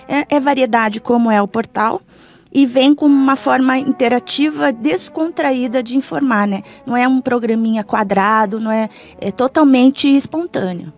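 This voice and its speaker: A middle-aged woman talks conversationally as a radio guest. Her voice sits on the high side, and faint music plays behind her in the studio. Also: moderate pace. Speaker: interview guest, blogger and video presenter. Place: small foam-padded radio studio. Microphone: studio microphone.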